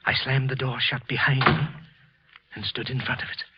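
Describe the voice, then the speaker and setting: A man's voice: mid-range voice, level tone, very noisy, lightly acted. Muffled old-radio actor as a brooding male killer. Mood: shaken, nervous. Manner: deliberate, brooding, intense. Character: guilty office worker confessing murder, coldly deliberate yet nervous. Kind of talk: old-time radio thriller acting, first-person narration. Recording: muffled vintage radio recording with music.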